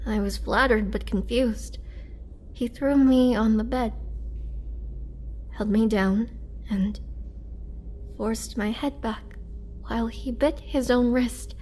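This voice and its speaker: A woman playing a sorrowful, vulnerable young vampire and former farm girl, speaking hesitantly and softly in an intimate roleplay monologue, sometimes near a whisper. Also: fairly high pitch, a little hiss or hum. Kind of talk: roleplay audio drama, intimate first-person monologue.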